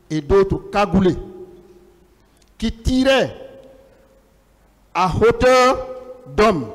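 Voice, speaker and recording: A man speaking as a lawyer in a formal hearing, reading testimony aloud and putting questions in a deliberate, declamatory way with pauses between short phrases. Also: high-pitched. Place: large courtroom. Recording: reverberant large courtroom, long echo.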